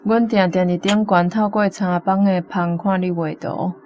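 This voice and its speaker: A woman's voice: medium pitch, steady tone, moderate pace, a little mellow. A young woman with a Taiwanese accent attempting translations as a student contestant, in a dull recording. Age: young adult. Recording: dull lo-fi sound, faint noise.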